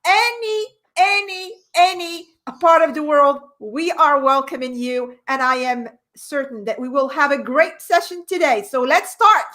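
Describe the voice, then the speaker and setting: A woman from Morocco, a business owner, speaking as a guest in a radio studio interview, energetic with thoughtful pauses. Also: sits high.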